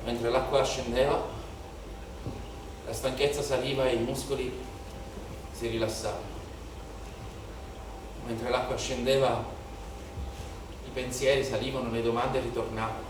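A man's voice: medium pitch, little pitch movement, measured pace, long pauses, natural voice. A male stage actor playing an impassioned, haunted young protester in a declamatory theatrical monologue, with incantatory repetition. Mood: brooding, reflective. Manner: declamatory, incantatory repetition, swelling from hushed to shouted. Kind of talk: theatrical dramatic monologue in Italian political theatre. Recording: very echoey theatre, distant mic, noisy.

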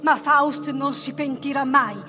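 A cold, taunting evil angel. Her high voice rings in a long cavernous reverb, ominous over music in a radio play. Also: flat delivery, unhurried, sharp tone. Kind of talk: classical radio theatre.

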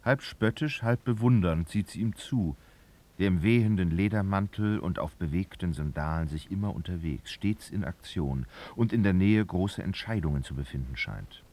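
A man's voice: low voice, moderate pace, a little mellow. A man narrating a literary radio drama, reading expressively and dramatically.

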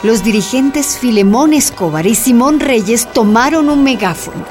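A woman's voice: on the high side, lively intonation, moderate pace, a little mellow. A woman delivering scripted dramatic narration as the narrator of a radio drama. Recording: music behind.

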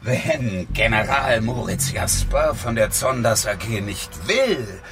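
A man's voice: medium pitch, big pitch swings, slow pace, music in the background. An actor playing a drunken, sarcastic man in a radio drama, drawling and mocking. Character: drunken, sarcastic man, wearily provoking his companion. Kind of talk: German radio drama acting.

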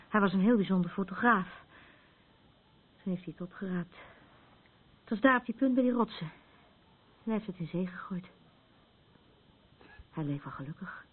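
Woman acting a frayed, lowish-voiced woman, thin recording. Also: lightly acted. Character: worn-out, frayed woman burdened by an unstable man. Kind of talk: radio play acting. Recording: thin phone-like old radio recording, noisy.

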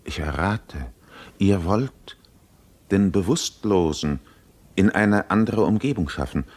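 An actor in a radio play as a principled, solemn healer who speaks with formal, measured diction. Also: male voice, sits low, lively intonation, darkish tone, heavily acted. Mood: thoughtful, wary. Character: grave, principled male healer, wary and solemn. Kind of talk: German radio play acting.

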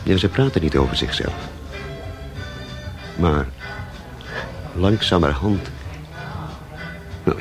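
A very low-voiced barman in a radio drama, with a creaky, gravelly edge. He rambles and corrects himself, talkative and cynical, with the hesitant delivery of everyday talk. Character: talkative café barman, cynical, fond of missing bandmate. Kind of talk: naturalistic radio drama acting.

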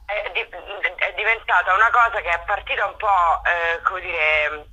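Female interview guest, sharp bright tone, raspy edge, halting ironic delivery, thin radio-like sound. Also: fairly low pitch, steady tone, rough recording. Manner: rambling, halting, with self-deprecating irony. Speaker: comic actress and writer, interview guest. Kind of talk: casual promotional interview.

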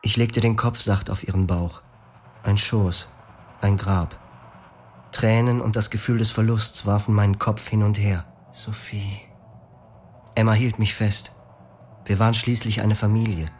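A man narrating a radio drama in the first person, quiet, grave and measured. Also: on the low side, flat delivery, a little hiss or hum.

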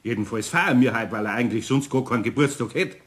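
A man's voice: medium pitch, fast, fairly bright tone, lightly acted. An actor playing a tipsy, good-natured older craftsman with a Bavarian accent, rambling along in a folksy, hesitant way in a radio play. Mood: tipsy, earnest. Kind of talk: German radio play dialogue.

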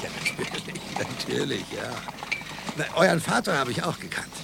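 Rural carter, male, friendly and chatty, gravelly, swinging intonation, radio drama. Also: medium pitch, big pitch swings, moderate pace. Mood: reflective, kindly. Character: friendly rural cart driver, an uncle to children. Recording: noisy outdoor ambience of a cart ride.

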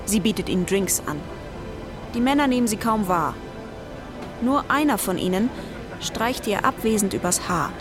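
A woman's voice: medium pitch, expressive, normal speed, pauses often. A woman delivering scripted narration as the narrator of a German radio drama. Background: music.

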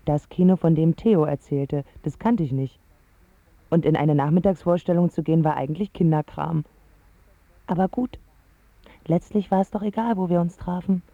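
A woman's voice: fairly low pitch, moderate pace, mellow, no room sound, muffled recording. An actress playing a smitten, self-assured teenage girl who narrates her story in a reflective, confiding way for a radio drama. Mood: matter-of-fact. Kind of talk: scripted first-person radio drama narration.

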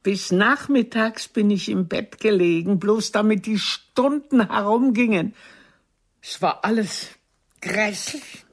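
An older actress playing a proud, wounded theatre diva in a German radio drama, with a haughty, theatrical and cutting delivery. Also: female voice, medium pitch, takes it slowly. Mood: bitter, aggrieved.

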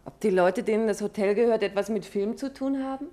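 An actress playing an alert, affectionate wife of an investigator in a 1950s German radio drama. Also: female voice, medium pitch, a little fast, warm tone, close to an everyday voice. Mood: curious.

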